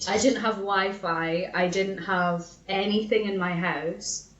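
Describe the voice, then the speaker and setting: A woman's voice: medium pitch, steady tone, slow, a bit bright. Informal woman podcaster in an echoey room. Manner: informal, sweary, often giggly. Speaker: podcast co-host. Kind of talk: casual chat, recounting family arguments. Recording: echoey room, slightly dull sound.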